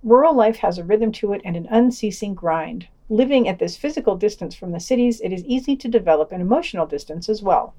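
A woman's voice: medium pitch, animated, fast, few pauses, mellow. Dry, wry woman reading podcast news. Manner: dry, wry, pedantic asides. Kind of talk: scripted news digest with wry commentary and read-out quotes.

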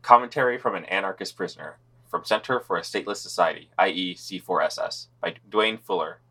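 A man's voice: sits low, a little fast, sharp tone, husky, low-quality recording. A man co-hosting a podcast, reading news summaries and quotes in a laid-back, sardonic way. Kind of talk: casual news roundup with read-out quotes.

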